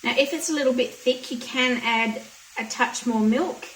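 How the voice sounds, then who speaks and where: A hoarse woman chatting through a cooking demonstration in her home kitchen, explaining each step plainly. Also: on the high side, normal speed, a bit bright, slight background noise. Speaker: home cooking channel host. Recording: roomy kitchen audio from a distance.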